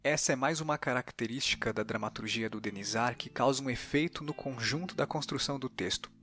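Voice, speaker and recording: A man's voice: mid-range voice, fairly quick, fairly bright tone, soft background music. A man with a Brazilian accent hosting an interview podcast, giving reflective, analytical commentary. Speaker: podcast host interviewing a playwright.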